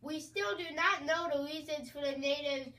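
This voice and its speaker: High-voiced young woman reporting mock news. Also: moderate pace, sharp tone, distant mic. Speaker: correspondent.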